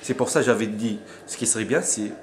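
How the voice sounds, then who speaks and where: A man's voice: on the low side, fast talker. A man in his forties from Morocco, giving his view in an interview, hesitating and restarting his phrases while insisting on finishing his point. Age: 40s. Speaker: interviewee, conference attendee. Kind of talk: spontaneous debate interview. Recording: crowd noise behind.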